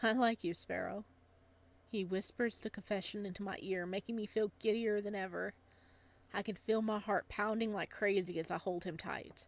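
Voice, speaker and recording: A woman streams a story game in a medium-pitched voice. She reads narration aloud and reacts casually. Her manner is giggly and gushing. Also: steady tone, normal speed. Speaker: streamer reading a visual novel aloud.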